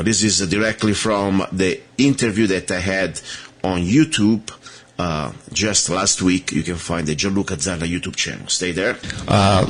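Italian-accented male talk radio host, middle-aged, low husky rasp, earnest and halting. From Italy. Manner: earnest, with many fillers and restarts. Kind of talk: opinionated radio monologue.

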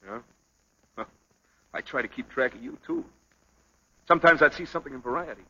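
Bright-voiced, earnest young American boxer. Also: male voice, on the high side, brisk, lo-fi sound. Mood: awkward. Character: earnest, lovestruck working-class male boxer, quick-tempered. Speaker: actor.

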